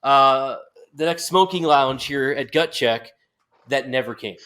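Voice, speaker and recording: A man's voice: mid-range voice, measured pace, a bit bright, clear, resonant tone. An American man hosting a podcast, chatting casually with joking banter. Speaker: podcast host. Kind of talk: casual interview chat.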